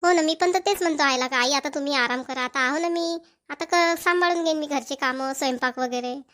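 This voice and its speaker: Woman voicing a high-pitched, affectionate village woman. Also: brisk, a bit bright. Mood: respectful, gentle. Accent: rural Maharashtrian. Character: affectionate village women, doting grandmother and dutiful daughter-in-law. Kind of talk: Marathi family cartoon voice acting.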